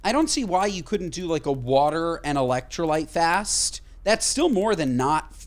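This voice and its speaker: A man's voice: fairly high pitch, big pitch swings. A man co-hosting a podcast, chatting casually and emphatically, swearing freely. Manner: emphatic, swears casually, talks in quick bursts. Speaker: podcast co-host. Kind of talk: casual chat.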